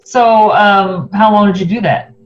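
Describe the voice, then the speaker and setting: A woman's voice: medium pitch, a little slow. Woman interviewer, dull lo-fi sound. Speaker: show host and interviewer. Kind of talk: informal interview.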